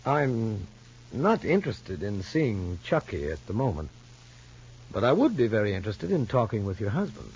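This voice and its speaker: An actor playing a measured, probing child psychologist in a 1950s soap opera, formal and deliberate. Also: male voice, fairly low pitch, sing-song, darkish tone, husky, heavily acted. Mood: firm, reasoning. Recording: muffled vintage broadcast with faint noise.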